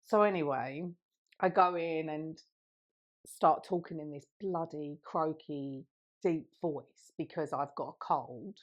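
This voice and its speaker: A woman in her thirties, her voice sitting a little low for a woman. She tells a long story in easy, rambling podcast chat and laughs often along the way. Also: slow, muffled recording. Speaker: podcast co-host.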